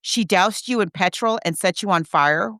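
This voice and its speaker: A woman hosting a podcast in casual conversation, rephrasing as she goes in an animated, halting way. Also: medium pitch, dry recording, clean studio sound. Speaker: podcast host. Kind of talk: casual film and book discussion.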